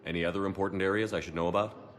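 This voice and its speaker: A man's voice: deep voice, fairly even intonation, rapid-fire, in a large hall, natural voice. A man playing a resolute, earnest military commander in a video game, terse and firm. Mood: businesslike. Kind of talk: dramatic video game acting.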